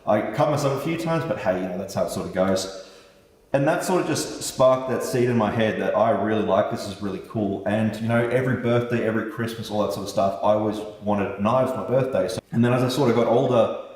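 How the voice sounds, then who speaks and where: A low-voiced man, German his first language, talks to his viewers as a knife maker in a relaxed, chatty way, cursing now and then. He sits away from the mic, so the sound is distant and bounces around a hard, echoey room with a long tail. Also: a little fast. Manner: laid-back, chatty, swears casually. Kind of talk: casual vlog talk to viewers. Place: room with a light set up.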